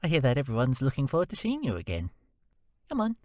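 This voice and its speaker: A man's voice: medium pitch, wildly swooping pitch, quick pace, darkish tone, dry recording, studio quality. A man voicing a kindly, helpful little male diesel engine in a storybook reading. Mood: friendly. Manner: friendly, reassuring.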